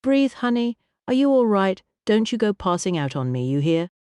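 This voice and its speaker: A narrator voicing a tall, glamorous American woman entertainer with a soft, smooth American accent, affectionate and motherly. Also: medium pitch, sing-song, normal speed, no room sound, pitched above the performer's own voice, lightly acted. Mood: concerned. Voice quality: smooth, honeyed drawl. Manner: affectionate, calls everyone honey.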